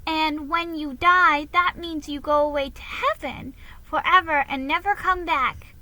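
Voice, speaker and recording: A woman's voice: high voice, steady tone, measured pace, sharp tone, pitched above the performer's own voice, exaggerated voice, poor audio. A woman voicing a small, inquisitive, earnest little girl, a younger sister in a storybook. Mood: solemn, explaining.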